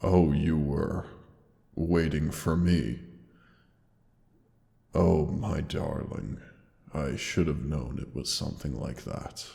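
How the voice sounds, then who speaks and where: A man voicing a dying gentle dragon. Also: steady tone, long reverb tail, heavily acted. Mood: tender, pained. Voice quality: deep gravelly voice. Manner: tender, slow, weary. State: wounded, pained. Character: dying ancient male dragon, gentle and loving. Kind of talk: audio roleplay drama.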